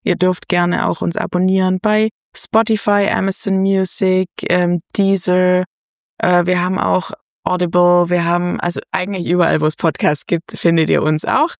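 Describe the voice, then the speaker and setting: A woman's voice: lowish voice, flat delivery, normal speed, creaky, no room sound, studio quality. A woman in her thirties hosting a casual podcast chat, talking loosely with many fillers and small laughs. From Germany. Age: 30s. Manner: chatty, many fillers, laughs between phrases. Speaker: podcast host.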